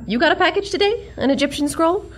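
A woman's voice: extremely high pitch, animated, quick pace, some chatter behind, a little hiss or hum. A woman playing a plucky, wisecracking young museum worker in a comic radio drama, perky and sassy. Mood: polite exasperation.

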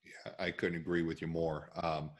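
Deep-voiced man hosting over a headset. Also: normal speed. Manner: animated, emphatic, gestures with hands. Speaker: podcast host. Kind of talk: casual interview chat. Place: home room, indoors. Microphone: headset on a video call.

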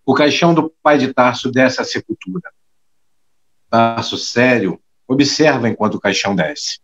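A man's voice: mid-range voice, moderate pace, fairly bright tone, highs cut off. A man narrating a scripted audio drama, reading out the scene action. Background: clear music.

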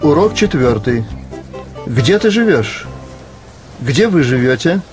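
A man's voice: medium pitch, sing-song, takes it slowly, faint music behind. A man reading titles and questions for a scripted language lesson. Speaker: language course reader.

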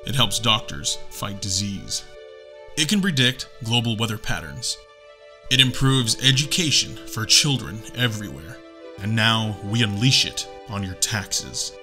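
Man, deep voice, voice actor, scripted demo read, faint music. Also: animated, unhurried, bright, forceful tone. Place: home recording setup.

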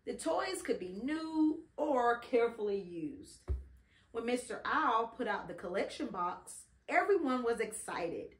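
A middle-aged woman reading a children's story aloud expressively, pausing to prompt and encourage a child. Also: fairly high pitch, very animated. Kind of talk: children's read-along storytelling. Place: indoor living room beside a Christmas tree. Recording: roomy, echoing home recording.

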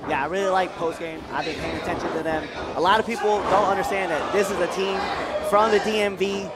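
A man's voice: high-pitched, fairly even intonation, fairly quick. Conversational male wrestling commentator over crowd noise. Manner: informal, conversational. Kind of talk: casual live sports commentary. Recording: loud crowd and venue noise behind. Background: wrestling crowd, ring slams.

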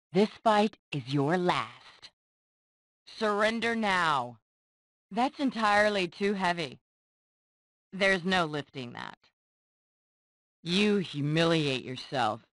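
A haughty superheroine for a video game. Her voice sits a little low but swings widely in pitch. She delivers clipped, disdainful combat lines at an unhurried pace, impatient and commanding. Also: no echo. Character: haughty, impatient superheroine fighter, cool and scornful. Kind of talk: video game voice clips, short combat barks.

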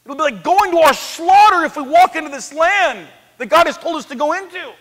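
Man, 30s, preacher, animated sermon, high voice, echoey church hall. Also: brisk. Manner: animated, paces the stage, swings from loud to hushed. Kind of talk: lively sermon. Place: church sanctuary, large, indoors.

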